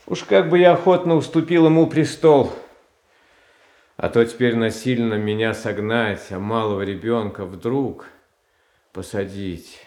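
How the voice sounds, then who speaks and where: An actor playing a gentle, naive, frail Tsar in a radio stage tragedy, speaking in a plaintive, hesitant way. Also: male voice, mid-range voice, natural voice. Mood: wounded resignation. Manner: halting, plaintive, hesitant phrasing. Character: gentle, naive, frail, pious Tsar, soft-hearted and pleading. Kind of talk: classical Russian stage tragedy in radio adaptation. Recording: roomy, echoing stage sound.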